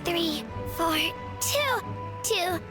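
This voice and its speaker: A woman's voice: very high-pitched, animated, very slow, exaggerated voice. A woman voicing an earnest, determined young girl fighter in a video game, with a youthful, girlish voice. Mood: eager, determined. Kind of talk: video game character dialogue. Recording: loud game music behind the voice.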